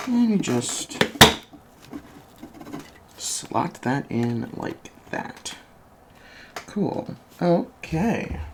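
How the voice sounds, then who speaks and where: Slow, gravelly ASMR repair technician murmuring. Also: mid-range voice. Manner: hesitant, murmuring narration of each step to the listener. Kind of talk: soft-spoken ASMR repair roleplay. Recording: constant fan noise, clicks and chair creaks. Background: computer fan hum, clicking and typing.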